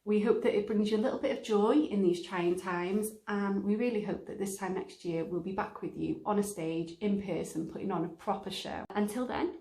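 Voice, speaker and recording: A young woman from Wales speaks as a company member introducing a show. Her voice sits at an average pitch for a woman. The room around her adds a clear echo. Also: fairly even intonation, moderate pace, hardly any pauses, mellow. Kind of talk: spoken introduction to camera. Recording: roomy indoor echo.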